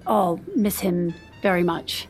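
Slow-paced, hesitant office employee. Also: female voice, fairly low pitch, steady tone, faint music behind. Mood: gentle, careful. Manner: tentative, placating. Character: polite, hesitant female finance office employee. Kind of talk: naturalistic screen drama acting.

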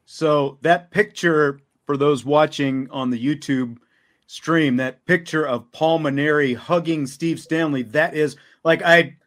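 A man's voice: fairly high pitch, steady tone, measured pace, a bit bright. An American man hosting a sports show, interviewing guests in a casual, conversational way.

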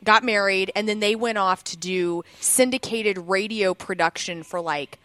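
This woman is a radio DJ with a St. Louis accent, chatting with enthusiasm and rattling off stories quickly. Her voice sits in a middle range for a woman. The sound is dry and close on the mic. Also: medium pitch, a bit bright, dry recording. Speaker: radio DJ, host. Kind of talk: casual chat.

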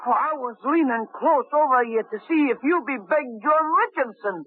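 An actor playing a small, sly, cowardly thief in an old radio drama, with a high, wheedling voice. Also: male voice, normal speed, no room sound. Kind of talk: 1950s radio drama acting. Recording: tinny old radio broadcast.

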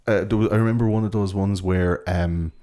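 Enthusiastic low-voiced Irish man chatting. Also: fairly even intonation, brisk, dark tone. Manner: enthusiastic, sweary, rambling storyteller. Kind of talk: casual chat, explaining.

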